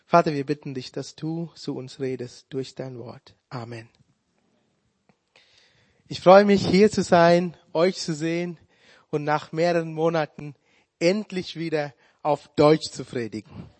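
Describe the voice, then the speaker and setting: A man whose first language is Tamil, preaching a sermon in a slow, deliberate way with touches of humor. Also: on the high side, darkish tone, dry recording.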